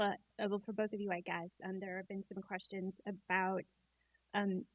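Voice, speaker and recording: A woman's voice: medium pitch, no echo, rough recording. Hesitant woman moderator, dry sound. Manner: hesitant, many fillers. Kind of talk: reading audience questions on a panel.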